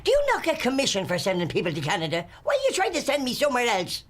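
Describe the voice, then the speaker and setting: A woman's voice: on the high side, huge pitch swings, rapid-fire. An actress playing a muddled, blunt woman booking a trip. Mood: exasperated. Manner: blunt, muddled, increasingly exasperated.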